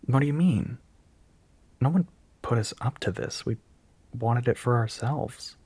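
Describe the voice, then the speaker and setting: A man playing an earnest, nervous young soft-boy union spokesman in an audio roleplay, speaking haltingly but with polite firmness. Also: mid-range voice, slightly rough, dry recording. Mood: flustered, defensive. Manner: hesitant, halting, politely firm. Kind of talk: audio roleplay monologue, addressing the listener.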